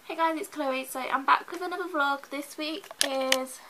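A woman's voice: sits high, moderate pace, very noisy. A young woman vlogging in her bedroom, a bit bunged up from a cold, talking anxiously and chattily to a handheld camera. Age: young adult. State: congested from a cold. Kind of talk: casual vlog chat to camera. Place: bedroom at home. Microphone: handheld camera close up.

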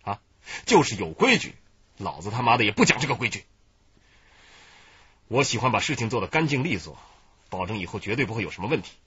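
A ruthless gang leader from a radio crime drama. His voice is low and very husky, commanding and coldly mocking. The sound is slightly dull and lo-fi. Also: very animated, a little fast, pauses often, a bit bright, no room sound, full character voice. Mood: cold, menacing. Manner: commanding, coarse, falsely reassuring.

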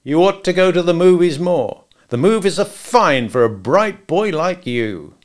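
A man voicing a sardonic gangster hitman who taunts a captive with slow, mocking talk. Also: on the high side, animated, voice pushed up.

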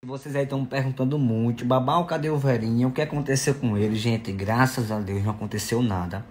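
A man's voice: mid-range voice, brisk, a little mellow, slight background noise. A man, chatting playfully and affectionately while answering follower questions on his phone at home. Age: 20s. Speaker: social media influencer. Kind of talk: casual selfie Q&A. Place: indoors at home, bedroom and kitchen. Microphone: phone at arm's length.

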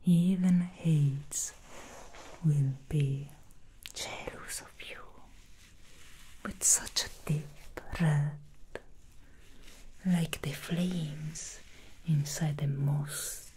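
A woman plays an alluring she-demon hairstylist who whispers every word, breathy and low, right against a close microphone. She drawls at an extremely slow pace, lingering with sly hums between phrases, her tone dark and mellow. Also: fairly even intonation, a little hiss or hum. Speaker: ASMR roleplayer. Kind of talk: whispered ASMR roleplay. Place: small dressed studio set indoors. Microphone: close sensitive microphone.

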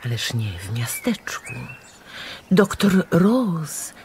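Slow, expressive gossipy sister. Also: female voice, deep voice, a little hiss or hum, full character voice. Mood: gossipy, breezy. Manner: unhurried, expressive, chatty. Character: gossipy, breezy well-to-do sister hosting tea. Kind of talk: radio drama acting.

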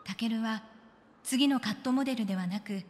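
Actress as a wistful young woman, highish voice. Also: normal speed, lightly acted. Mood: wistful, reflective. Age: young adult. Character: wistful young woman recalling her lost love. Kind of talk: audio drama dialogue and narration.

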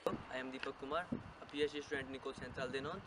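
A man's voice: medium pitch, fairly even intonation, rapid-fire. A young man from India, a PhD student giving an earnest testimonial, repeating phrases at times. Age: 20s. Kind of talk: testimonial interview. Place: outdoors on a campus. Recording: distant mic, street noise.

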